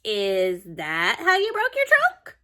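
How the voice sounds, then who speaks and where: A woman voicing an excitable, curious young female pig in a storybook, asking questions in a dramatic, exclamatory way. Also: mid-range voice, slow, heavily acted. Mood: puzzled.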